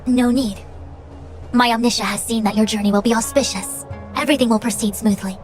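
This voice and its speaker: An actress plays a haughty young woman diviner with a high voice, crisply formal and imperious. She speaks as a game character used to command and easily exasperated, over a clear music bed. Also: steady tone, a little fast, close to an everyday voice. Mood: assured. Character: haughty, brisk young female master diviner, authoritative and exasperated. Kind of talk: scripted video game voice acting.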